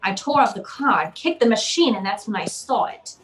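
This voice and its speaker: A woman's voice: mid-range voice, animated, normal speed, close to an everyday voice. A woman playing a cynical, wry private eye, curt and hardboiled, in an old-time radio noir drama. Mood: wry narrating.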